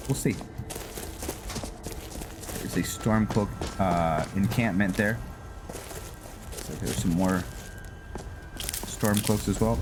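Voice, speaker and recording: A man giving casual, rambling game commentary, thinking aloud and often searching for words as he narrates a roleplay playthrough. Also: on the low side. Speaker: gaming video host narrating a roleplay playthrough.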